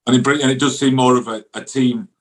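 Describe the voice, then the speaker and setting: A middle-aged man from a football background talks. He is a chatty ex-goalkeeper pundit, colloquial and informal, with a voice of ordinary male pitch. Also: steady tone, fast, rough recording. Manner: chatty, colloquial, often adds "you know what I mean". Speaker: former professional goalkeeper, guest pundit. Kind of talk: casual football chat.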